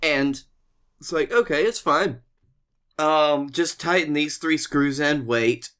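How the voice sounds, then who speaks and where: Bright-voiced man telling casual comic stories. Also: mid-range voice. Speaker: comedy podcast co-host.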